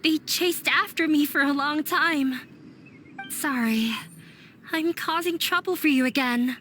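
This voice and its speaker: A woman voicing a withdrawn young woman in a video game who speaks softly, apologetic and resigned. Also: high-pitched, measured pace, a little hiss or hum. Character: self-blaming young woman, quiet and withdrawn. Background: game music.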